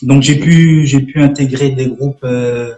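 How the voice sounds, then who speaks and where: Gospel rapper in his twenties from French Guiana, mellow tone, echoey room, halting delivery. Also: mid-range voice, fairly even intonation, faint music behind. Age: 20s. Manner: halting, many fillers and restarts. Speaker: gospel rap artist guest. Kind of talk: casual live-stream interview.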